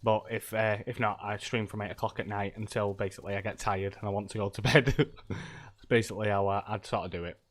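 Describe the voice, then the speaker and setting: A man's voice: on the low side, fairly even intonation, fairly quick. A middle-aged man, stuffy from a cold, chatting casually and rambling with lots of ums and laughs while live-streaming a game.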